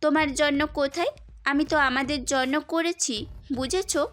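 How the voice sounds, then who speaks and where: A woman's voice: high voice, normal speed. A woman playing a caring, insistent university teacher in an audio story, with music underneath. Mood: insistent, kind. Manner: concerned, gently bossy. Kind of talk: Bengali audio story acting.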